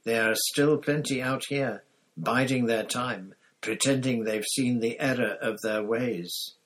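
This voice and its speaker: A bitter, vengeful man, as a reader puts him on in a storybook, hissing venomous accusations. The voice sits a little raised and pushed louder than the narration, sharp with contempt. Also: mid-range voice, measured pace, pitched above the performer's own voice. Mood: accusing. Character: bitter, furious escaped prisoner, grown man. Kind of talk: audiobook character reading.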